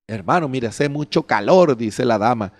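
A middle-aged man preaches slowly and deliberately into a handheld microphone on a church stage. He keeps turning to the congregation with rhetorical questions and briefly acts out the excuses people give. His voice sits in an ordinary middle pitch. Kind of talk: sermon. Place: church stage before a curtain. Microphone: handheld mic.

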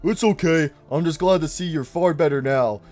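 A man voicing a calm, reassuring golden bear plush who speaks in a soothing, steady way. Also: on the high side, moderate pace, soft background music, natural voice. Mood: gentle.

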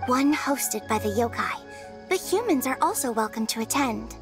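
A woman voicing a polite, sincere young girl yokai spirit in a video game, speaking in a measured storytelling way. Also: fairly high pitch, lively intonation, over music. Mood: humble, sincere.

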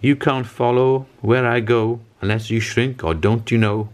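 Fearless tiny storybook girl, cheeky and sing-song, lifted pitch male reader acting. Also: steady tone, measured pace, lightly acted. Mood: teasing. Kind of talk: storybook character reading, sing-song rhymes.

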